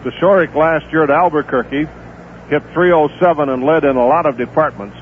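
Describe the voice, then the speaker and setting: A man calling a baseball game play-by-play on radio, steady and unhurried, filling the gaps between pitches with stats and notes on the players. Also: a little hiss or hum. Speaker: play-by-play announcer. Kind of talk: live baseball radio commentary. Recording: tinny 1970s AM radio broadcast.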